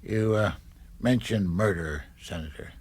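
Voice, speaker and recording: An actor playing an anxious party fixer in a radio drama, with a husky voice and a hesitant, pleading, cajoling manner. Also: male voice, on the low side, unhurried, darkish tone. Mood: hesitant, careful.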